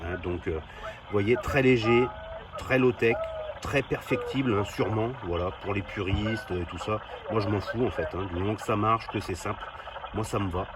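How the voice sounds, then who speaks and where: A man with a fairly low voice talks casually to his viewers as he demonstrates some hobby gear. His manner is informal and down-to-earth, full of little conversational tags. It is a field recording with clearly audible noise behind him. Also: moderate pace. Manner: informal, down-to-earth, often tagging phrases with "hein". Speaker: host of a CB radio hobby vlog. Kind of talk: casual hands-on demonstration to camera.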